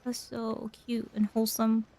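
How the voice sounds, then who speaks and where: A woman's voice: a little high, completely flat delivery, normal speed, mellow. A woman game streamer giving casual reactions as she plays.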